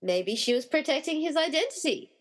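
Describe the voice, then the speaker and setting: A woman playing an excitable, wry, slightly exasperated rescuer in a comedy radio play. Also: sits high, fairly quick. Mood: excited.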